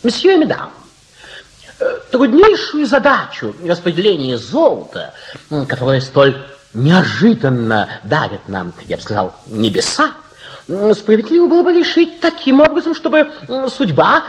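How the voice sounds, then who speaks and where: A man acting a flustered, sentimental French delegate in a comic radio play, florid, hesitant and excitable. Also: high-pitched, moderate pace. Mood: pompous, hesitant. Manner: hesitant, florid, excitable, humming between phrases.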